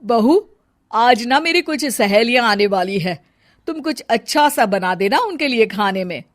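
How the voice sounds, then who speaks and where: A woman's voice: a little high, animated. A woman voicing a bossy, suspicious middle-aged village mother-in-law in a cartoon story, full of orders and nagging. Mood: guarded. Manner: brisk orders, scolding, nagging. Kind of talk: Hindi cartoon story dialogue.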